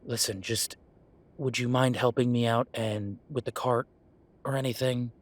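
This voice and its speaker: A man playing a gruff, sarcastic merman who runs a tattoo shop, speaking in a halting, conversational audio roleplay style. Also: mid-range voice, steady tone, natural voice. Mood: irritated, sardonic. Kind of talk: naturalistic one-sided audio roleplay.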